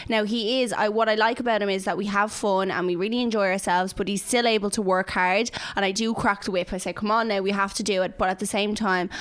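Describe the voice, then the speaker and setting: A woman's voice: medium pitch, fairly bright tone. A woman with a Dublin accent speaks with enthusiasm in a mid-range voice. She is a professional dancer chatting casually. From Dublin, Ireland. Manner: upbeat, enthusiastic. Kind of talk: casual chat.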